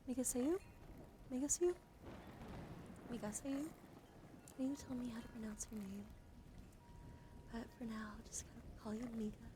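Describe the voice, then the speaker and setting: A woman hosting a casual ASMR livestream, talking softly and often dropping into whispers, in a rambling, easygoing way. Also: a little high, steady tone, moderate pace, long pauses. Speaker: livestream host. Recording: clearly audible background noise.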